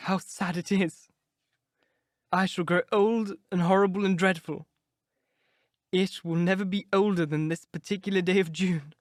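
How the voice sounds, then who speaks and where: A man reading aloud, voicing a vain, beautiful young man who fears growing old, in an impassioned, high, cracking delivery. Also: fairly even intonation, a little slow, pauses often, dry recording, studio quality. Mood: wistful. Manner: impassioned, rising to anguished cries. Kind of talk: reading of a classic novel aloud.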